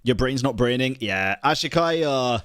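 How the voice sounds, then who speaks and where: A man's voice: mid-range voice, lively intonation, normal speed, a bit bright, clean tone, dry recording, studio quality. A man reacting casually to a video, laid-back and slangy, swearing a bit.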